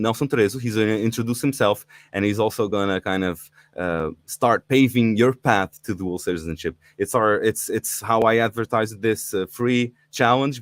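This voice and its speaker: A man's voice: fairly low pitch, dry recording, clean studio sound. A middle-aged man from Portugal hosting a live online talk, eager and inviting, with frequent fillers and restarts. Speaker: livestream host. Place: indoors in Portugal.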